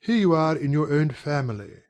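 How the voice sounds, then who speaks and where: A man playing a courteous, guarded young English gentleman in a dramatized audiobook, speaking in measured, formal phrases as if confiding. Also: on the high side, big pitch swings.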